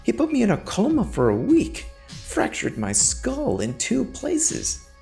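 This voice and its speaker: A man acts out a small, meek man confessing as a recovering killer in a horror story. The voice is raised and sheepish, halting with emotion. Music sits behind it. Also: very high voice, huge pitch swings, creaky, darkish tone, pitched above the performer's own voice, full character voice. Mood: pained, shaky.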